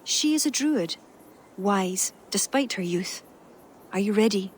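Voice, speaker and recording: Clear ambient noise surrounds a determined court adviser in a game. Her mid-pitched voice is formal, measured and earnest. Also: expressive. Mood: composed, explanatory. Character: earnest, determined female poet advising a king. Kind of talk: video game voice acting. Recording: game audio over clear outdoor noise.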